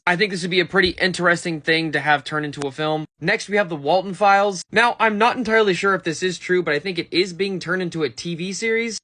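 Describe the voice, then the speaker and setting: A man's voice: on the high side, sharp tone. A man presenting a list in a casual, conversational way, with informal asides as an online video host. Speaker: YouTube presenter.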